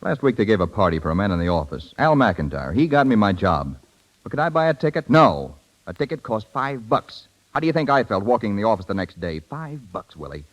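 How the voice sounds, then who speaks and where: Fed up proud american husband, 1930s radio drama, quick talker, really animated. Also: male voice, lowish voice, poor audio. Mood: bitter. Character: hot-tempered young husband, proud and fed up.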